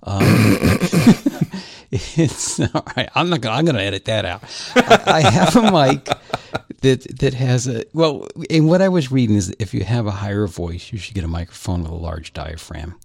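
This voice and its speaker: A man's voice: medium pitch, expressive, normal speed, creaky, breathy edge, no room sound. A man co-hosting a podcast in casual chat, rambling and self-deprecating with frequent restarts, close on a dynamic mic at home. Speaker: podcast co-host. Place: home studio, recorded locally over Skype. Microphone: large-diaphragm dynamic mic, close.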